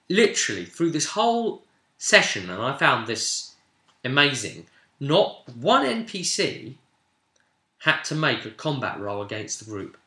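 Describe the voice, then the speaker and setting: A man's voice: mid-range voice, bright, forceful tone. A man casually recapping a tabletop role-play session as game master, telling it like a story and breaking off into asides. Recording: roomy, echoey room sound.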